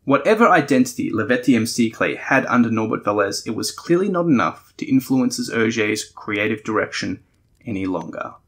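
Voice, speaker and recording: A man's voice: medium pitch, expressive, moderate pace. A man hosting a podcast, giving a conversational, partly scripted review in a thoughtful, explanatory way. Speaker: podcast host.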